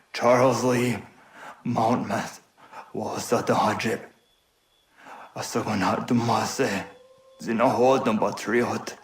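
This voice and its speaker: A man's voice: mid-range voice, breathy edge, heavily acted. An actor playing an earnest young man in a dramatic video game scene.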